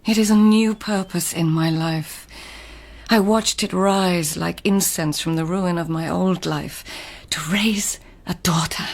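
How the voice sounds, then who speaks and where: An actress in a radio drama playing a proud palace lady, poised, formal and precise, with a breathy, husky voice. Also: lowish voice. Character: proud, imperious palace lady of ancient Japan.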